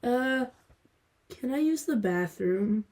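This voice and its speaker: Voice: medium pitch, animated, slow pace, a little mellow. A performer playing a timid, nervous, bookish boy who speaks hesitantly and anxiously in a family audio drama.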